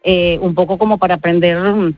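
A woman from Venezuela with a Venezuelan accent answers interview questions informally, in a halting way with repeated words. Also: lowish voice, steady tone, no echo, soft background music. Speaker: interview guest. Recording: dull, band-limited radio-like sound.

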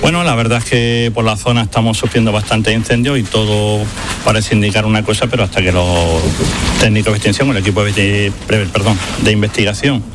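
A man's voice: fairly low pitch, steady tone, fairly quick, noisy background. A man, a town mayor, speaking cautiously in an interview clip, with restarts.

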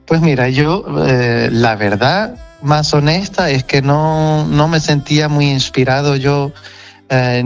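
A man from Portugal with a Portuguese accent, speaking as a guest musician in a relaxed interview, talking frankly and reflectively. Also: mid-range voice, lo-fi sound. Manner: frank, reflective, self-correcting. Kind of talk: casual interview chat.

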